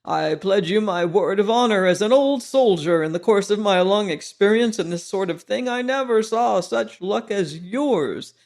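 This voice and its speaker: A hoarse old French soldier, loud and fawning, spurring on a gambler with exclamations, as a narrator puts him on. His voice sits high and carries brightly in a storybook reading. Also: moderate pace, hardly any pauses. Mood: flattering, boisterous. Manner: effusive, flattering, exclamatory.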